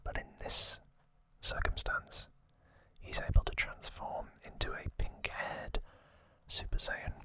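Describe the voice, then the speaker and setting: A man hosting an ASMR video, giving a casual recap in a breathy, unvoiced whisper with a halting, intimate delivery. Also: sharp tone.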